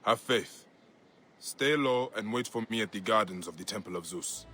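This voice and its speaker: A man acting as a grave Egyptian investigator in a video game, with a fairly low voice. He speaks in measured, brooding phrases, steady and compassionate, with music playing clearly underneath. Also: close to an everyday voice. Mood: calm, reassuring. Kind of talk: video game acting, part dialogue, part musing aloud.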